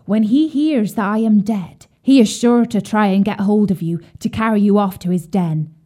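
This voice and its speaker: A woman voicing an old, dying mother pig who speaks earnestly and a little louder, full of care for her young. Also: medium pitch, normal speed, natural voice. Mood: earnest warning.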